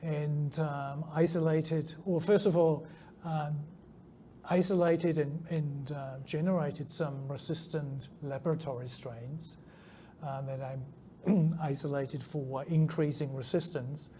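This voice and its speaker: Man, German-born, New Zealand accent, hesitant with frequent ums, mellow tone, faint conference hum. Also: on the high side, steady tone, slow. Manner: hesitant, many ums and restarts. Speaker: scientist guest. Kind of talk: interview answers. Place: conference venue. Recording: faint background noise at a conference.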